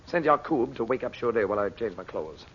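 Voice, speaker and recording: An actor playing a brisk, commanding adventurer uncle with an urgent, clipped manner in a 1940s radio serial. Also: male voice, mid-range voice, expressive, natural voice. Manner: urgent, clipped, takes charge. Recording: muffled, noisy old radio recording.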